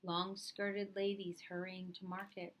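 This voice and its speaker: A woman reading a picture book aloud as a storyteller, with expressive, deliberate phrasing. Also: medium pitch. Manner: dramatic, deliberate phrasing with acted dialogue. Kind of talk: expressive children's read-aloud narration.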